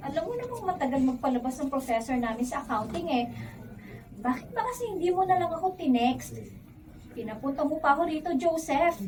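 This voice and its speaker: A young Filipina college student with a very high, pleading voice. She is expressive, in radio drama style. The recording is a little distant, with faint noise. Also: brisk. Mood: protesting, exasperated. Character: apologetic young college student daughter and girlfriend.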